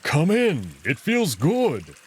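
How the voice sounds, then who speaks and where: Man voicing a slow-talking, swooping wolf prince. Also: on the high side, wildly swooping pitch, breathy edge, pitched above the performer's own voice, exaggerated voice. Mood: teasing, goading. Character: big male anthropomorphic wolf prince, playful and teasing. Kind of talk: visual novel character acting.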